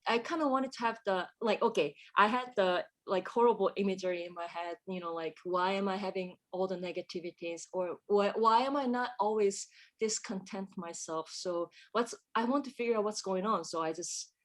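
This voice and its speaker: A woman talks through her thoughts slowly and reflectively, pausing with fillers as she searches for the right English words. Her voice sits at an ordinary middle pitch for a woman. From Korea. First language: Korean. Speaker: composer, interview guest. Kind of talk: casual conversational interview.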